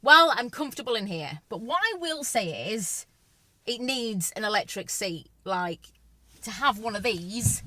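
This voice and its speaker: A young woman with a Yorkshire accent talks through a car review from the driver's seat, chatty and full of opinions. Her voice sits a little high and rings bright and forceful. She sounds lively on camera. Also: dry recording, far from the mic. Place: inside a car, driving.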